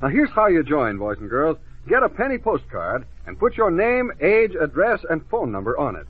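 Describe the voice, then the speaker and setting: American male announcer for a fifties children's radio show, lively and highish, slightly rough, thin vintage sound. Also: moderate pace. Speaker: radio announcer, host's sidekick. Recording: tinny, band-limited vintage 1950s radio recording.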